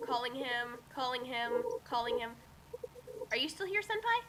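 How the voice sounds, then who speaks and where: A woman's voice: high voice, big pitch swings, moderate pace, sharp tone, a little hiss or hum. A woman on an online group call, hosting a dramatic fanfic reading that mixes theatrical delivery with casual chat.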